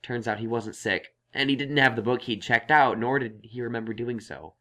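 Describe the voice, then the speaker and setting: A man's voice: medium pitch, normal speed, a bit bright, natural voice. A man voicing a thoughtful, reassuring young male unicorn scholar in an audio story, explaining things earnestly. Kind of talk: acted audio story dialogue.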